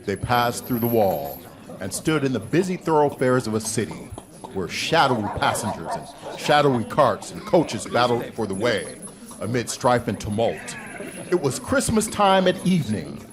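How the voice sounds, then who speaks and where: A man's voice: medium pitch, very animated, moderate pace, crowd noise. A man narrating a scripted radio drama live, in a theatrical storytelling manner. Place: live stage performance. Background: music.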